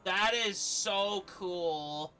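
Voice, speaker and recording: A man's voice: high-pitched, drawn-out words, sharp tone, clean tone, faint music behind. A man doing game streamer commentary and reading game text aloud.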